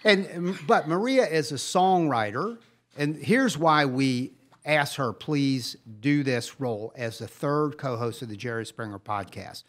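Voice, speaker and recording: A man's voice: medium pitch, unhurried. A middle-aged man chatting casually as a podcast co-host, introducing a guest in an enthusiastic, rambling storytelling way. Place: live podcast recording at a coffee parlor.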